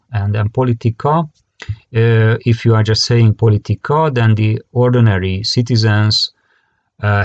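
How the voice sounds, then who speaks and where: A man with a Hungarian accent giving an academic conference lecture in halting, hesitant phrasing that often repeats words. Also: on the low side, darkish tone, no room sound, highs cut off. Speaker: conference presenter, career guidance expert.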